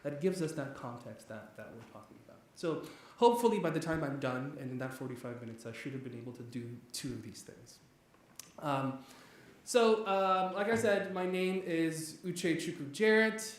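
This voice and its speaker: A man's voice: a little high, expressive, moderate pace. A man from Nigeria who teaches economics, giving an eager, talkative guest lecture with many fillers and restarts. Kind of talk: public lecture. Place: large echoey church hall. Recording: very echoey hall, faint background noise.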